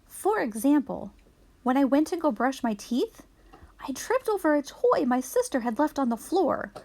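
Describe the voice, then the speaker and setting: A woman reading a children's picture book aloud in an expressive storytelling manner for young children. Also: high voice, moderate pace.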